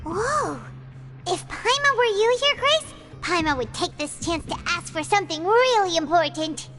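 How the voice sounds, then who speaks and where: A woman voicing a tiny, excitable floating fairy companion who chatters on and refers to herself in the third person. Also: very high voice, moderate pace. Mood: excited. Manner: talks about herself in third person, bubbly and nosy. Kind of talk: video game voice acting. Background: game music.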